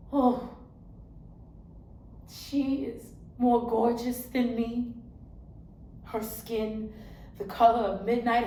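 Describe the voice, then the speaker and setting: An actress performs a weary woman turned angel, baring her soul in a stage monologue. Her fairly high voice moves slowly and deliberately, with heartfelt weight. The room rings around her. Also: darkish tone. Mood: anguished awe. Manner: slow, heartfelt, deliberate delivery. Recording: roomy echo, faint background noise.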